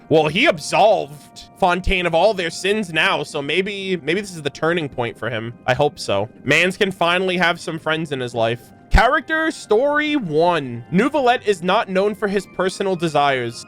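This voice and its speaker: Young male gaming host, slightly high voice, enthusiastic and informal lore commentary over light music. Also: fairly bright tone. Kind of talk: casual commentary and lore reading.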